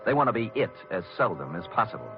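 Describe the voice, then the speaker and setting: A man's voice: fairly low pitch, very animated, fast. An American man playing a tough, wisecracking private detective in an old-time radio noir drama, speaking in a wry, clipped manner. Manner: hard-boiled, wry, clipped. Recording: dull vintage radio recording with music.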